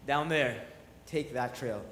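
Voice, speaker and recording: A man's voice: mid-range voice, slow, a bit bright. Radio drama thug, male actor: callous, taunting, commanding, mid register, echoey room with faint noise. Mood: menacing. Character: callous, mocking male henchman with a gun. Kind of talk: staged radio drama performance.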